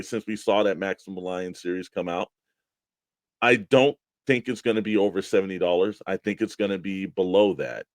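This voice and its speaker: A man's voice: fairly low pitch, dry recording. A man with an American accent co-hosting a toy collectors' show in casual, bantering talk. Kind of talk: casual banter, sponsor reads and mock weather report.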